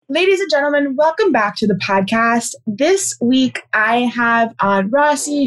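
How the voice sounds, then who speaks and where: A woman's voice: a little high, low-quality recording. A young woman, a college student, hosting a podcast and interviewing a guest in an upbeat way. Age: young adult. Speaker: podcast host.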